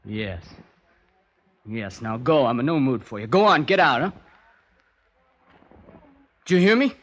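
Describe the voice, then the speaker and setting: An actor playing an earnest, introspective American poet abroad with an American accent in a 1950s radio drama. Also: male voice, medium pitch, very animated, a little slow, lo-fi sound. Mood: agitated. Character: American poet abroad, troubled and devoted husband.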